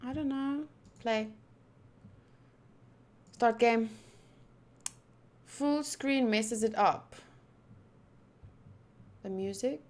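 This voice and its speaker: A woman hosts a casual game playthrough. Her voice is on the high side. She chats and muses aloud as she goes, in an informal commentary style. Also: extremely slow, long pauses, darkish tone. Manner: chatty, musing aloud. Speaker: gaming channel host.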